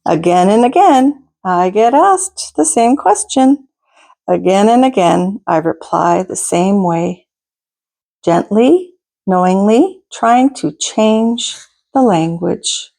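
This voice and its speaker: A woman reading a picture book aloud to children as a storytime host, in a deliberate, expressive manner with asides to her listeners. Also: on the high side, vocal fry. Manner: deliberate, expressive, pauses to ask listeners questions.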